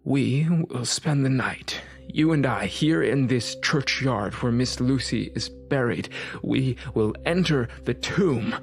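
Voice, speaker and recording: An actor playing a learned older vampire hunter in a gothic audio drama, grave and insistent, with deliberate, emphatic, questioning speech. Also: male voice, mid-range voice, vocal fry, soft background music. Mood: hushed, ominous.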